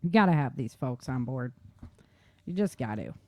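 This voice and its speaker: A woman's voice: rumbling low, animated, moderate pace, no echo, highs cut off. A woman hosting a talk show in casual chat, telling things informally with slangy emphasis and repetition. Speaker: talk show host, author. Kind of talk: casual chat on survival tips.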